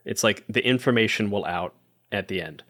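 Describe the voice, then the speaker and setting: Lower-voiced man playing a tabletop game. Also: normal speed, fairly bright tone. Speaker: player in a tabletop roleplaying game. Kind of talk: casual roleplay talk, describing his character's actions.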